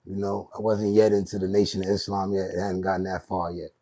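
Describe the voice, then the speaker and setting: A man from Brooklyn with a lowish voice, talking as a podcast guest. He recalls things in a relaxed, slangy, meandering way. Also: fast. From Brooklyn, New York. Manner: laid-back and slangy, rambling recollection. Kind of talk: casual interview storytelling.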